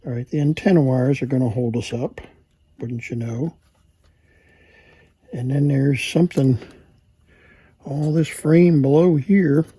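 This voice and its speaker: A man with a husky, somewhat dark voice talks himself through a repair job. His delivery is slow and halting, often a casual mutter to himself as he works. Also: medium pitch, dry recording. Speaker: repair hobbyist and video host. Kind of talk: casual think-aloud repair narration.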